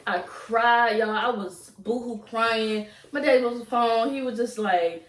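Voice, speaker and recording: A woman's voice: on the high side, a little slow. A young adult woman with a Southern US accent telling a casual, repetitive story to viewers as a vlogger. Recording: roomy echo, distant from mic.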